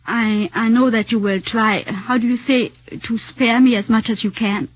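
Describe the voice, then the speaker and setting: A woman's voice: on the high side, steady tone, normal speed, no echo, lightly acted. A woman playing a guarded young foreign wife who was once an actress, hesitant and searching for words, in 1940s radio acting. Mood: tense. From Vienna. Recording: dull 1940s radio broadcast.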